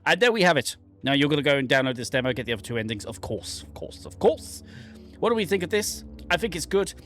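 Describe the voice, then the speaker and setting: A man's voice: fairly high pitch, sing-song, fast, a bit bright, faint music behind. A man hosting a gaming channel, giving casual, wry commentary on a game. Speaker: gaming channel host playing an indie horror demo. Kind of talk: casual game commentary and first-look review.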